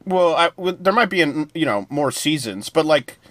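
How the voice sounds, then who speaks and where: Casual podcast co-host, a man with a slightly higher voice, dry close mic, loose rambling delivery. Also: animated, normal speed, a bit bright, dry recording. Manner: rambling, loose plot summaries with many fillers. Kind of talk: casual TV review chat.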